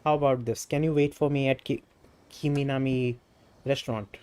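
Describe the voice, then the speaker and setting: A man with an Indian accent reading out a polite, earnest young clan official in a fantasy game, in a halting delivery. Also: mid-range voice, steady tone, darkish tone, smooth, lightly acted. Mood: courteous. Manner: halting read-aloud delivery, repeating stumbled words.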